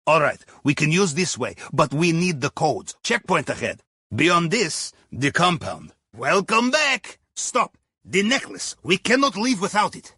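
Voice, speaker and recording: A shrewd, jovial Russian-accented sub captain from a video game. His voice is fairly high and bright, with a husky rasp. He coaches a heist crew in droll, swooping phrases. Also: very animated, unhurried, dry recording, clean studio sound, exaggerated voice. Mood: urgent, encouraging. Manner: droll, conversational, dropping articles. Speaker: heist mission handler. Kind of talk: scripted video game dialogue.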